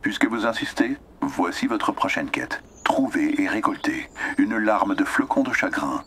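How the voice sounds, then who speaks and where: A man voicing a needy, lonely quest-giving machine in an animated game comedy, with a grandiose, dramatic manner that breaks into whispers. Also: on the low side, unhurried, a little hiss or hum. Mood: theatrical. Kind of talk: French animated comedy acting.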